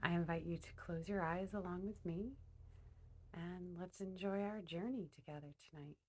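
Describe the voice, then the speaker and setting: Woman, meditation guide, slightly low pitch, deliberate phrasing, frequent mid-sentence pauses. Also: steady tone. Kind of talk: guided meditation, speaking to listeners.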